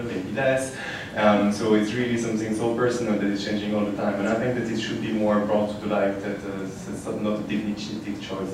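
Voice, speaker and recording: A man on a panel adding a comment, speaking slowly with many fillers. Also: lowish voice. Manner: slow, hesitant, many fillers. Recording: echoey room from far off with noise.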